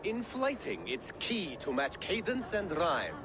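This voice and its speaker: A man's voice: high-pitched, sing-song, unhurried, noisy background. A man playing a jovial, witty Viking poet in a video game, theatrical and lilting. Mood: theatrical, rhyming. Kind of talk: theatrical video game acting, declaiming rhymes.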